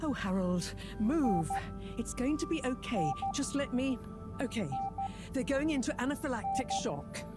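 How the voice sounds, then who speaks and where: A brisk, commanding professor. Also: female voice, a little high. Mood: urgent. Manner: crisp, authoritative, lively intonation. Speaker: scientist. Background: game music.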